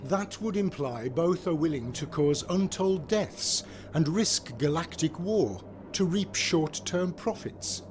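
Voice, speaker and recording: A man playing a worried, formal senator in a comic dub, speaking in a measured way over faint music. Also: a little high, animated. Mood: concerned, formal.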